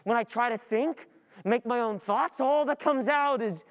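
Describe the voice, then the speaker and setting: A young woman playing an overwhelmed, easily distracted student in a theatrical stage monologue, speaking in a halting, confessional way. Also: on the high side, background noise, lightly acted. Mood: anxious, wry. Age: young adult. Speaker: stage actor.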